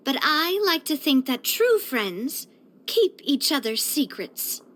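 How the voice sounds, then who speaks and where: A woman's voice: high voice, slow, a little hiss or hum, full character voice. An actress playing a spirited, proud and affectionate young woman boss in a video game, with a theatrical, bubbly delivery. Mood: teasing. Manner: theatrical, expressive, bubbly, with playful grandiosity. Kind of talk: scripted video game character voice lines.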